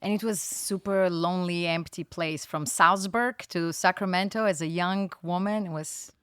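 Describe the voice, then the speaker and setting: A woman's voice: on the low side, steady tone, normal speed, a bit bright, clear, resonant tone, dry recording. A woman in her forties from Bulgaria, speaking English with a Bulgarian accent, frank and matter-of-fact as a studio guest. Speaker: wine educator guest. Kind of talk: casual interview chat.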